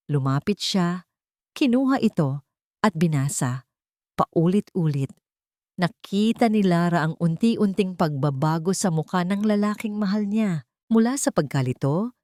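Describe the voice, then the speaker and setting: A woman with a Filipino accent narrating a dramatic story in a measured, emotive audiobook style. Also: on the low side, a little mellow, no room sound, studio quality.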